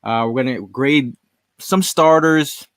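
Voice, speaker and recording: A man's voice: mid-range voice, slow, clear, resonant tone, dry recording, clean studio sound. A man co-hosting a sports podcast, talking in a laid-back, rambling way. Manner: laid-back, rambling, says "you know" often. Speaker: podcast co-host. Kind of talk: casual sports chat.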